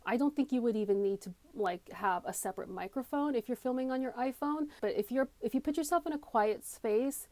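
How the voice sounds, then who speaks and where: Chatty higher-voiced woman giving a tutorial. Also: a little mellow, no room sound. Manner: chatty, emphatic, self-deprecating. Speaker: comedy coach, video creator. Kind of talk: casual unscripted tutorial to camera. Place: small cramped room. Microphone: wired or phone headphones mic.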